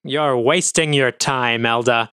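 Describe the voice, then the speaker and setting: A man's voice: lowish voice, lively intonation, brisk, sharp tone, clean tone, no room sound, clean studio sound. A man voicing a scrawny, ferret-like police officer who barks and sneers in an audio drama. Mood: sneering hostile. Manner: barking, sneering.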